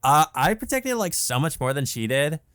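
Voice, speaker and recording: A man acts out an excitable young vampire woman in a visual novel. The voice is pushed high and girlish, dramatic and possessive, swinging between indignation and teasing. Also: normal speed, dry recording. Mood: indignant. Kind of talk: visual novel character read aloud.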